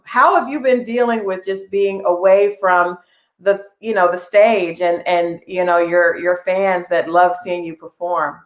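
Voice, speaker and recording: A woman hosting an interview, who asks long, rambling questions and murmurs frequent agreement. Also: lowish voice. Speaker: interview host. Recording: echoey room.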